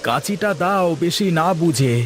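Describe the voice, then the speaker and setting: Cartoon casting: anxious village husband played by a man, highish voice, practical and insistent, faint rain behind. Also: lively intonation, moderate pace, fairly bright tone, a little hiss or hum. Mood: urgent. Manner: urgent, insistent. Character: cautious, practical male villager, a worried husband. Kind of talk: cartoon dialogue.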